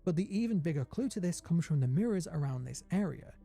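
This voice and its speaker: A man's voice: a little high, expressive, fast, gravelly, mellow. A man giving scripted video essay narration, explaining things step by step and building suspense. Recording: prominent music under the voice. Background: music.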